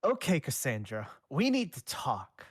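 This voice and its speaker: A man's voice: mid-range voice, wildly swooping pitch, a little slow, husky, no room sound, studio quality, pitched above the performer's own voice, heavily acted. A man reading the part of a wary, blunt teenage boy ghost hero in a fan story, with sceptical and exasperated lines. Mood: forceful.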